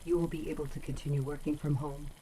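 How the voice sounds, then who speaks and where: An actress playing a possessive, coldly murderous home computer in a radio drama, with measured, formal, emotionless phrasing. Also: female voice, sits low, fairly even intonation, dark tone, a little hiss or hum, close to an everyday voice, poor audio. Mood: quietly controlling.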